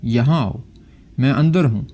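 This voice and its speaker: Man voicing a frightened, pleading woman, mellow-toned. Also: mid-range voice, unhurried, lo-fi sound, slight background noise, natural voice. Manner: urgent, pleading. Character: terrified woman pleading for rescue on the phone.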